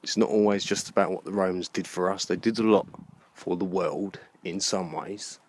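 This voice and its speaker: A man thinking aloud in a halting, musing way, rambling like a vlogger on a walk. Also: low-pitched, steady tone. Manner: musing, halting, pausing between phrases. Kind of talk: rambling spoken-thought walking vlog about books. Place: outdoors, walking in a park. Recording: outdoor walking recording with clear background noise.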